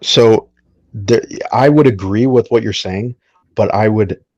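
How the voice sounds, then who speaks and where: A low-pitched man talks as a panelist on a casual podcast. He states his opinions firmly and keeps checking for agreement with short tag questions. The sound is dry and a little lo-fi. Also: takes it slowly, darkish tone, no room sound.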